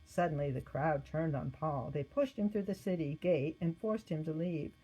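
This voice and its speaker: Low, mellow woman reading a story. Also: moderate pace, husky, rough recording. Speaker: storybook reader. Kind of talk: scripted story narration, read aloud.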